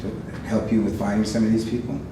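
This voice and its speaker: Guy interviewing a vet, lowish voice, kinda halting, roomy echo, far mic, noisy. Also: flat delivery. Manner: hesitant, many fillers and restarts. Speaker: volunteer interviewer. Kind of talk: oral history interview. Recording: echoey room, far mic, background noise.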